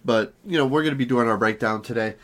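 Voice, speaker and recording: A man co-hosting a casual podcast, his voice hoarse and raspy from allergies, chatting in a rambling, self-deprecating way. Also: quick pace, fairly bright tone. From Southern California. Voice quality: naturally deep voice, raspy today. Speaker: podcast co-host.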